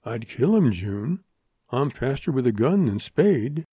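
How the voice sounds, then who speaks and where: A laconic young cowboy, voiced by a male narrator, talks modestly but stubbornly. His voice is dark and husky, and he takes his time over each phrase. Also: mid-range voice, big pitch swings, a little slow, no room sound, dull audio. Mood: firm. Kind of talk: audiobook western character voice.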